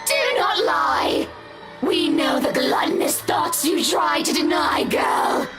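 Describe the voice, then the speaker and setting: High, venomous two-headed ghost woman. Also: vocal fry, raised voice, over loud music, heavily acted. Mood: screeching fury. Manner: taunting, drawn-out, venomous. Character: cruel, mocking two-headed female apparition in a mirror. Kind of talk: horror visual novel voice acting.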